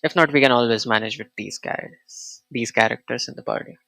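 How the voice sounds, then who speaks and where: A man's voice: medium pitch, brisk, vocal fry, bright, forceful tone, no echo. A man giving casual let's-play commentary as a streamer, thinking aloud with offhand reactions. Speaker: game streamer. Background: game music.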